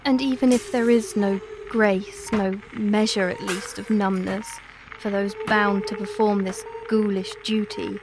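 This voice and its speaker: A woman's voice: mid-range voice, background noise, lightly acted. A woman playing an anguished, guilt-ridden ghost, speaking solemn, formal monologue in an audio drama. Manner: solemn, deliberate, old-fashioned literary phrasing.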